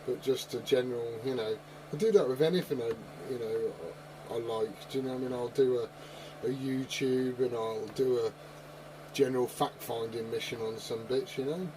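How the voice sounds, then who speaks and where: Clear background noise, CB radio ambience, man, forties, mid-pitched voice, casual chat, operator hosting. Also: fairly even intonation, moderate pace. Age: 40s. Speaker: CB radio operator and video host.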